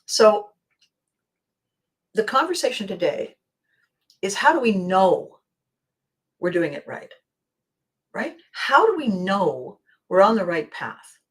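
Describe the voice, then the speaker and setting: A woman with a slightly hoarse, scratchy voice hosting a casual motivational monologue, speaking expressively and with emphasis to her viewers. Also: mid-range voice, slow pace, pauses often. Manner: expressive, acting out bits of dialogue. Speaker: vlog host.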